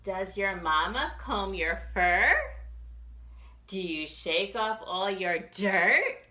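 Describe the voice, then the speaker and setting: A woman children's librarian reading a picture book aloud for young children in an expressive, sing-song questioning way. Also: mid-range voice, slow pace, roomy sound. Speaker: children's librarian hosting storytime.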